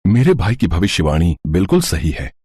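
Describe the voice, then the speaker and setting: A man playing a grave royal priest who gives dark warnings in a deep, husky voice. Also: big pitch swings, normal speed, no room sound, exaggerated voice. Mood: solemn. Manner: solemn, ominous.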